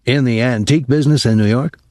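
A man voicing a nervous, apologetic older military academy colonel in an audiobook, speaking formally and haltingly with some embarrassment. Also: medium pitch, big pitch swings, no echo, studio quality.

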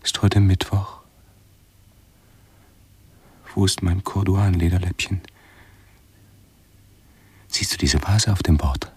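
Radio drama: fussy, anxious hypochondriac man, very breathy and husky, slow, pedantic delivery. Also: deep voice, a little mellow, no echo, full character voice. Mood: fretful, complaining. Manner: halting, pedantic, formal phrasing. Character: hypochondriac male patient, fussy, anxious and self-absorbed. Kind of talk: 1970s German radio play acting.

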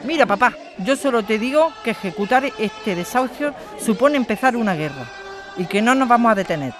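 A woman's voice: fairly high pitch, lively intonation, brisk, over music, lightly acted. Woman acting a combative young-generation activist lawyer, street crowd behind. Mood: indignant, defiant. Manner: indignant, challenging, forceful rhetorical questions. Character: combative activist lawyer daughter defending evicted neighbours. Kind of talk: audio drama dialogue.

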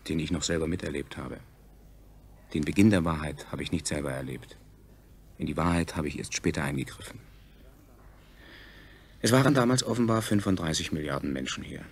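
An actor playing the solemn chronicler of a subjugated future people, narrating a scripted science fiction radio drama in a measured, declamatory way with a gravelly, husky voice. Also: male voice, a bass voice, exaggerated voice. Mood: factual, grave.

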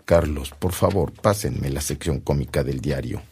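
An actor playing an ailing old bishop in a radio drama, gentle and good-humored, speaking wearily. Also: male voice, extremely low pitch, normal speed, vocal fry, a little hiss or hum. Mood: weary, gentle.

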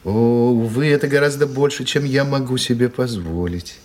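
Casting: male actor as wry romantic gentleman for radio play, mid-pitched husky voice, polite and faltering. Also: moderate pace, lightly acted. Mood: hesitant, polite. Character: hesitant, self-amused romantic young gentleman. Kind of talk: audio drama acting.

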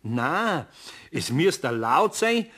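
Ein Schauspieler mit bayerischem Dialekt spielt in einem Hörspiel einen älteren, gutmütig brummelnden Handwerksmeister, nachsichtig und leicht genervt. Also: male voice, fairly high pitch, sing-song, takes it slowly, fairly bright tone, husky, full character voice. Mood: exasperated. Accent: Bavarian. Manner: homely, indulgent, mildly exasperated. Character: kindly, grumbling old master carpenter. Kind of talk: German radio play acting.

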